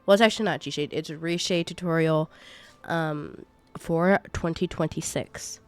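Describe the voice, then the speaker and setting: A woman in her twenties with a congested cold voice, walking viewers through a software tutorial in a chatty, rambling way. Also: deep voice, moderate pace, clear, resonant tone. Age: 20s. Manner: chatty, rambling, self-correcting. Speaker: gaming YouTuber. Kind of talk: casual screen-recorded tutorial.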